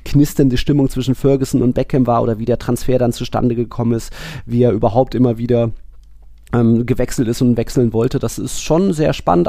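A man's voice: medium pitch, vocal fry, dark tone, no room sound, studio quality. A man hosting a football podcast, talking casually and informally in a chatty way. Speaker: podcast host.

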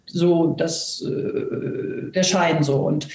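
A woman's voice: sits low, steady tone, drawn-out words, a little mellow, a little hiss or hum. A woman in her fifties from Germany speaking reflectively as an interview guest, searching for her words. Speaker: guest, actress, voice artist and coach. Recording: roomy, echoing sound.

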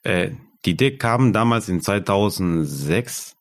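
A man, a guest talking about founding his yarn business, halting as he searches for German words. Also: lowish voice, animated. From Argentina. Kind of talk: casual interview.

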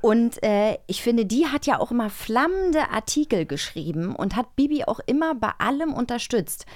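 A woman co-hosting a casual magazine talk, expressive and given to banter. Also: a little high, moderate pace. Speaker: podcast co-host.

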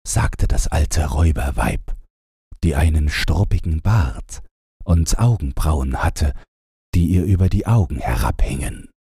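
A man's voice: very deep voice, animated, unhurried, warm tone, breathy edge, no room sound, studio quality. A man reading a scripted fairy tale as an audiobook narrator, in a bedtime storytelling style.

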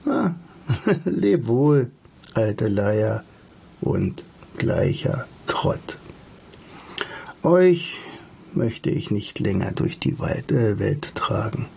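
A man voicing Everyday Life as a modest, doubtful, gentle male wanderer in a storybook reading. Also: fairly low pitch, big pitch swings, drawn-out words, gravelly, long pauses, a little hiss or hum, heavily acted. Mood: relieved farewell.

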